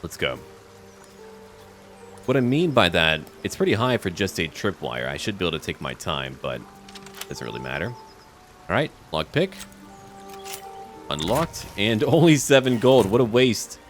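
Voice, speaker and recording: A man with a deep voice talks through his game, thinking aloud and calling to his party in a chatty, relaxed way. His pitch swings lively, and soft music plays underneath. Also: moderate pace, pauses often. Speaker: gamer playing a role-playing game. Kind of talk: casual let's-play commentary.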